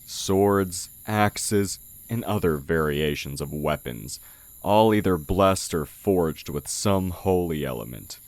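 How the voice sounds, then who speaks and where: A man playing a sly oni who poses as a humble village blacksmith in an audio roleplay, talking in a measured, condescending way. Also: low-pitched, lively intonation, no echo, heavily acted. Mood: calm, knowing. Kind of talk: audio roleplay, intimate character acting. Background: night forest ambience with crickets.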